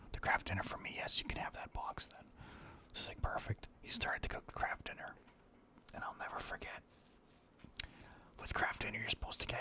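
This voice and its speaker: A man's voice: mid-range voice, bright, forceful tone. A middle-aged man whispering casual, rambling stories about his past, swearing now and then. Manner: whispering throughout. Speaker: storyteller.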